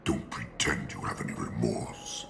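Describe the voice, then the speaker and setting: A man voicing a bitter, dying captive in a video game, weak and breathy, with labored, halting speech. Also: rumbling low, animated, very noisy, full character voice. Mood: bitter, accusing. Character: vengeful dying male captive on life support.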